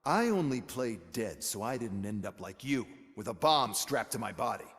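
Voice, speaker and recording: Animated, arrogant corporate executive. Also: male voice, mid-range voice, normal speed. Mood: sardonic. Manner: curt, condescending, sarcastic. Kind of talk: video game cutscene acting.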